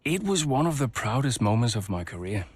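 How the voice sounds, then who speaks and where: An actor playing a calm, seasoned male race team mentor, talking in a measured, reflective way in a game cutscene interview. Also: medium pitch, breathy edge, no echo. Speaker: new team principal.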